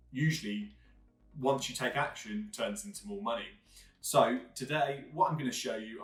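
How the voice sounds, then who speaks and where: Man in a roomy space, mic far. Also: fairly low pitch. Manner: repetitive, listing phrases. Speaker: online business host. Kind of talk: video intro to camera.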